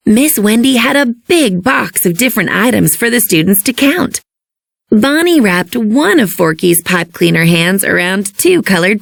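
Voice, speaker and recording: A woman reading a children's storybook as an expressive narrator. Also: medium pitch, vocal fry, no room sound, clean studio sound.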